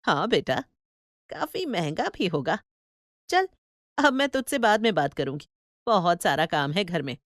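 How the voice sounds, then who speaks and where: Woman as brisk, chatty middle-aged auntie. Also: on the low side, sing-song, no echo, clean studio sound. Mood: chatty, admiring. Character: Janaki, chatty, busy middle-aged aunt. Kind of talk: Hindi cartoon story acting.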